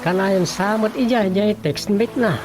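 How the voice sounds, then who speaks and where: A woman's voice: mid-range voice, normal speed, natural voice. An actress playing a chatty, gossipy village woman in a comedic radio drama. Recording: music bed behind voices.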